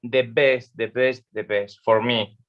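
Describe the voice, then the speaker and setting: Slow, halting painting teacher. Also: male voice, medium pitch, fairly even intonation. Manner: halting non-native English with many pauses. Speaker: watercolor painting instructor. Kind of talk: live online painting demonstration. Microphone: video call. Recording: slightly dull lo-fi stream audio.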